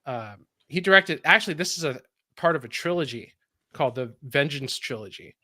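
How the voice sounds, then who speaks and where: A man's voice: fairly high pitch, lively intonation, a little fast, sharp tone, no room sound, studio quality. A man with a Californian accent chatting on a podcast panel, rambling with many restarts and laughs. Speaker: podcast co-host. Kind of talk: casual panel chat.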